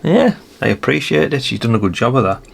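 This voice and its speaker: A man's voice: medium pitch, animated, vocal fry, slight background noise. A British man in his fifties chatting casually to viewers in a musing, rambling way, often tagging questions onto his remarks and correcting himself. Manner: musing, unhurried, frequent tag questions and self-corrections. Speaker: radio hobbyist presenting a video. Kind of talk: casual rambling vlog chat.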